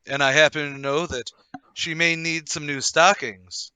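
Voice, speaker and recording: An actor playing a brisk, self-assured, well-to-do gentleman, emphatic and a little patronising, in a table read of a period murder mystery. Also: fairly high pitch, steady tone, smooth, no room sound, poor audio. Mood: confident, commanding.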